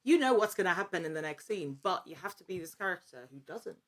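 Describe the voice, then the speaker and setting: Enthusiastic woman with a slightly low voice. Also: expressive, fast, a bit bright. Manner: enthusiastic, emphatic. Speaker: actor and podcast guest. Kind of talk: casual interview chat.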